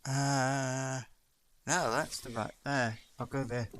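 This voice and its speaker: A man's voice: medium pitch, level tone, takes it slowly. A man, musing aloud and questioning himself while playing a driving game. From England. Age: 60s. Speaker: gaming channel host. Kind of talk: casual gameplay commentary. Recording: distant mic under loud truck engine noise.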